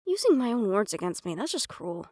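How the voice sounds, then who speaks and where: A woman's voice: medium pitch, very animated, a little fast, darkish tone. Casting: female actor as composed, nervy right-hand woman to a crime boss, intimate audio drama delivery. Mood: flustered. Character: poised, anxious female right-hand assistant to mafia boss. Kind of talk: intimate audio roleplay acting.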